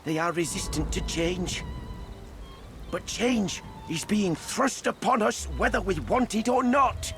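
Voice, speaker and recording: A man's voice: high voice, huge pitch swings, measured pace, over music, full character voice. A man voicing a small, zealous revolutionary fairy in a video game, pompous and declamatory, as if addressing comrades. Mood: earnest lecturing. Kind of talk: video game character monologue.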